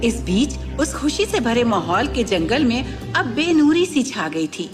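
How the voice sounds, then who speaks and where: A woman narrates a children's story in scripted style. Her voice is a little high and very animated in pitch, with music clearly playing behind. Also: unhurried.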